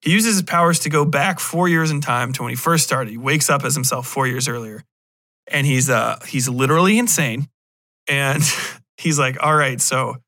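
A man's voice: medium pitch, sharp tone, no echo. A man hosting a podcast, giving a casual, rambling review in a profane, sardonic, incredulous manner. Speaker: podcast host.

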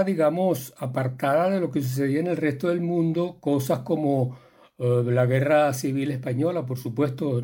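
Older guy from the canaries, warm mellow voice, rambles and restarts a lot, keeps tagging "no?". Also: medium pitch. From Canary Islands. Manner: reflective, rambling, with many restarts and tag questions. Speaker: guest filmmaker. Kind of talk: relaxed storytelling.

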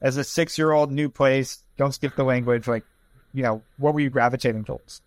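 Fast-talking male podcast host. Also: medium pitch, steady tone, dry recording. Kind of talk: casual conversational interview.

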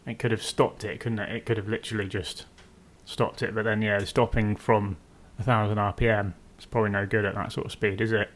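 A man's voice: lowish voice, flat delivery, a little fast, few pauses. A man co-hosting a podcast, musing aloud in a hesitant, teasing way with lots of fillers. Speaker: podcast co-host. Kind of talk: casual chat.

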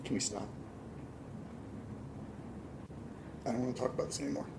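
A man playing a shaken, grieving man in a naturalistic horror audio drama, with halting, emotional delivery. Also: mid-range voice, gravelly, noisy background, natural voice. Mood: weary, defeated. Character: Nate, shaken grieving man haunted by strange events.

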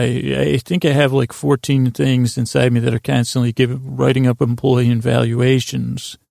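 Male host for a sleep podcast: very creaky, flat delivery, wandering and halting, dryly absurd. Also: mid-range voice, dry recording, clean studio sound. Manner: meandering, halting, with stammered restarts and trailing tangents; absurd, self-deprecating deadpan humour. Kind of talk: rambling bedtime podcast monologue.

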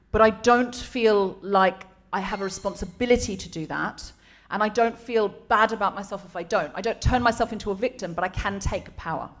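A middle-aged English woman with a slight Australian tinge hosting a live comedy podcast on stage, animated and laughing as she tells stories. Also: mid-range voice. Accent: English with a trace of Australian. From England. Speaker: podcast host. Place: comedy venue stage before a live audience.

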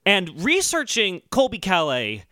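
A man's voice: high-pitched, huge pitch swings, unhurried, pushed and bright, dry recording. A man co-hosting a comedy podcast in a casual, earnest, rambling and somewhat defensive way. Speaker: podcast co-host.

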